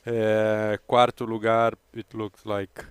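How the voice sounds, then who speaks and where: A Brazilian man calling a live windsurfing race with a fairly low voice. He builds excitement as the racers battle for position, and the sound is close and dry. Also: slow pace, smooth, dry recording. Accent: Brazilian Portuguese. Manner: excited play-by-play, rising with the action. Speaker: co-commentator. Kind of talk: live sports commentary.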